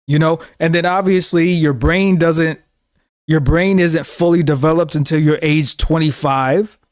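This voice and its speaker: A man's voice: on the high side, steady tone, measured pace, dry recording, clean studio sound. A man in his thirties giving an earnest, preachy talk to camera as a show host, often repeating himself. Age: 30s. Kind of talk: casual monologue to camera.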